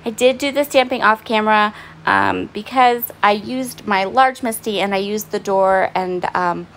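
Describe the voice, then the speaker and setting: A woman giving a casual, chatty voice-over of her craft project at home, her voice raspy and hoarse and she often pauses with "um". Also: mid-range voice, fairly bright tone, slight background noise. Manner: chatty and apologetic, with frequent "um"s. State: hoarse, voice partly fading. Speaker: craft vlogger. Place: at home, in bed.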